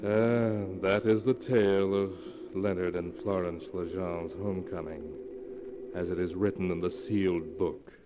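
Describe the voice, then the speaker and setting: A sinister keeper of dark tales in a vintage radio show. He speaks low and slowly, ominous and slyly amused, through clear noise from the old recording. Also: fairly even intonation, lo-fi sound. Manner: ominous, deliberate, drawn-out, darkly amused. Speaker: horror anthology storyteller. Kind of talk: 1940s radio horror narration.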